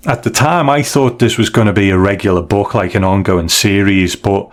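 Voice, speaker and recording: A man hosting a podcast in a casual conversational style, measured and thinking aloud as he goes. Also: fairly low pitch. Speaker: podcast host.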